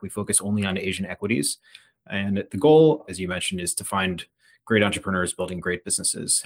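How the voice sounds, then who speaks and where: A man in his thirties from the Philadelphia area, a fund manager talking as a podcast guest in a relaxed, conversational way that often restarts phrases. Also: low-pitched. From United States (Philadelphia area). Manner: conversational, frequent restarts and repeated words. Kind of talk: podcast interview.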